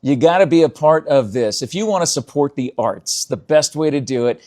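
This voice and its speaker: A man's voice: a little high, expressive, moderate pace, a little mellow. A man hosting an interview show, talking in an enthusiastic, emphatic, chatty way, as if promoting a local event.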